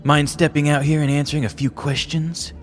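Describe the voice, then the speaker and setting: A man playing a dry, deadpan detective narrating in noir style in a comedy sketch. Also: on the high side, a little fast, faint music behind, close to an everyday voice. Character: deadpan middle-aged male detective.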